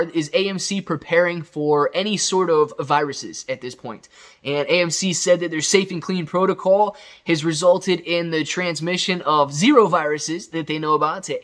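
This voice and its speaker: A man's voice: on the high side, a bit bright. A man hosting a casual, off-the-cuff news recap, talking straight to viewers in an emphatic, enthusiastic, conversational way. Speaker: video host recapping company news.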